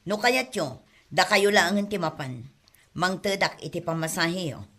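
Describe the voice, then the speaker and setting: A woman with an Ilocano accent playing a weary, scolding middle-aged mother in an audio drama, with a husky voice. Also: fairly low pitch, expressive, unhurried, a bit bright.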